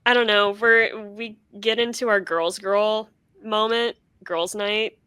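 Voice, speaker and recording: A woman's voice: medium pitch, fairly even intonation, slow pace, sharp tone, smooth, no echo. A woman co-hosting a podcast, chatting playfully and acting out little quotes. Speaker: podcast co-host. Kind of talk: casual chat.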